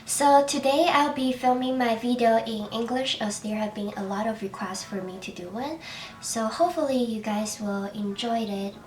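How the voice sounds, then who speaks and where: A young woman in her twenties with a Chinese accent, narrating a makeup tutorial in a soft, chatty and slightly self-conscious way. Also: a little high, moderate pace, a little hiss or hum. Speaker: beauty vlogger. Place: small bright room.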